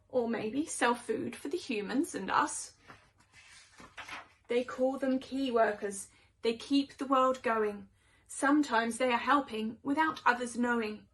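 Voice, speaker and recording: A woman voicing a chatty, opinionated house cat in a rhyming children's storybook, conversational and expressive. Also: sits high. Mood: musing. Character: chatty, opinionated house cats gossiping about their humans.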